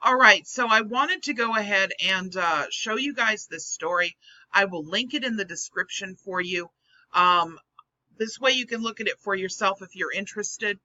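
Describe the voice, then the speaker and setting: A vlog host with a hoarse, scratchy voice, earnestly explaining the psychology behind the topic in casual commentary. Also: medium pitch, pushed and bright. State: hoarse, losing voice. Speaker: channel host. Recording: dull lo-fi recording.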